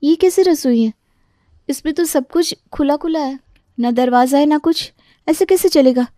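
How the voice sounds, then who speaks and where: A woman voicing a young daughter-in-law, gossipy and prone to complaint, in an animated family story. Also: high-pitched, normal speed, no echo, studio quality, pitched above the performer's own voice, lightly acted. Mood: indignant.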